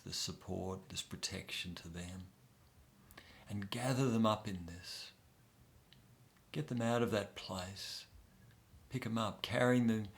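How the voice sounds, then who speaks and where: Slow, gravelly deep-voiced male guide. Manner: slow, soft and soothing, with pauses. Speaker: meditation guide. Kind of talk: guided inner-child meditation.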